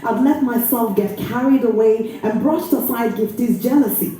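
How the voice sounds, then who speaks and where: A woman actor giving an expressive, deliberate dramatic reading from a novel. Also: fairly high pitch, recorded from a distance. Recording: very echoey room, faint noise.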